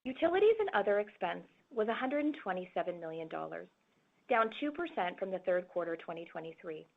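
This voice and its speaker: A woman executive reading a scripted financial presentation in a formal, measured, businesslike way. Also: lowish voice, very animated, vocal fry. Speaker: corporate finance executive presenting on an earnings call. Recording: phone-like, narrow-band conference call audio.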